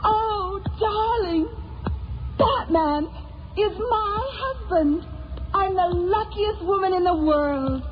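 An actress playing a devoted, headstrong heroine wife in a superhero audio drama, with a gushing, theatrical delivery. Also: female voice, very high voice, slow pace, faint music behind. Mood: adoring. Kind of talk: old-time superhero audio drama acting. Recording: muffled, faintly noisy old audio.

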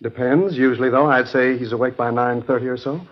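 An actor playing a stern, obstructive doctor with a husky voice and an insistent manner, in a 1950s radio drama. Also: male voice, medium pitch, steady tone, brisk, lightly acted. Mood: guarded. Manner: stiff, authoritative, insistent. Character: stern, obstructive male doctor guarding his patient. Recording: dull old radio recording.

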